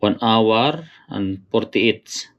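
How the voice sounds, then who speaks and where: Low-voiced man, halting casual unboxing. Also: lo-fi sound. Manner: halting, searching for words, addresses viewers often. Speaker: motorcycle vlogger.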